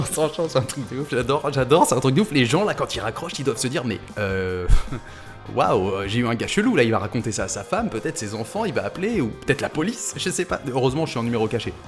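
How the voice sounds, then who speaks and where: A man's voice: medium pitch, sing-song, fast. Casual man rambling over music. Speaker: prank caller and video host. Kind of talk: casual prank-call chat and commentary.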